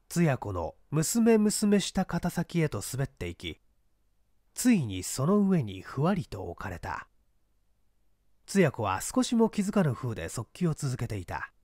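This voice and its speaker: A man reading mystery fiction as an audiobook narrator, with deliberate, theatrical and suspenseful delivery. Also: mid-range voice, darkish tone, no room sound, studio quality. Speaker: audiobook narrator, stage actor.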